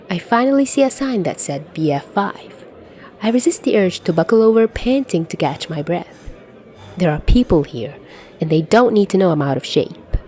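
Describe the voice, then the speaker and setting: A woman performs a young woman commuter in an audio fan fiction story. She is excitable and incredulous, exclaiming her thoughts with wide swings in pitch as she tells of a supernatural disaster around her. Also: natural voice. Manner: incredulous, exclamatory inner monologue. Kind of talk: first-person fan fiction narration.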